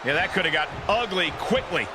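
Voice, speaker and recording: A man's voice: high-pitched, expressive, a little fast, noisy background. A man playing a dismayed wrestling commentator calling the action. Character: dismayed male wrestling announcer. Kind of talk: live-style sports commentary.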